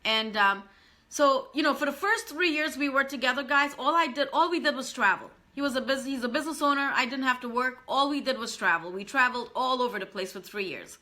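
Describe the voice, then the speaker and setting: A woman's voice: sits high, a little fast, bright, forceful tone. A woman, earnestly talking to live-stream viewers as a business coach and often repeating herself. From Trinidad and Tobago.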